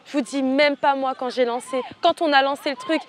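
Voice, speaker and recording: A woman's voice: high-pitched, steady tone, brisk. A teenage woman from French Guiana, a student activist leader, giving impassioned, rhetorical interview answers outdoors. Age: teens. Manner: impassioned, rhetorical, repeats phrases.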